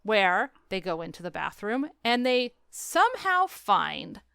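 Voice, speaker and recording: A woman's voice: mid-range voice, big pitch swings, measured pace, gravelly, bright, forceful tone, clean tone, no room sound, clean studio sound. A woman chatting casually and opinionatedly about film, emphatic and exasperated, as a podcast co-host. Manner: emphatic, exasperated ranting with acted-out quotes.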